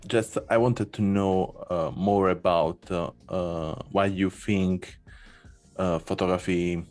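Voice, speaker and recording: Very deep-voiced halting male host. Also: fairly even intonation, a little mellow, faint music behind. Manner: halting, frequent fillers, non-native phrasing. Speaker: podcast host. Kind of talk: interviewing.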